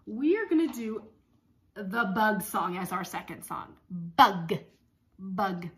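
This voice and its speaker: A woman in her thirties leading songs and story time for young children, speaking in simple, repetitive, expressive phrases. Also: medium pitch, slow, roomy sound. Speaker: speech-language pathologist leading circle time. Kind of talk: children's songs and read-aloud lesson. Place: small room at home.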